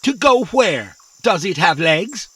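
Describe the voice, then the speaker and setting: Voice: medium pitch, big pitch swings, takes it slowly, a bit bright, husky, exaggerated voice. An actor voicing a naive, literal-minded, friendly little robot in a children's audio drama, earnest and puzzled. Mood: curious.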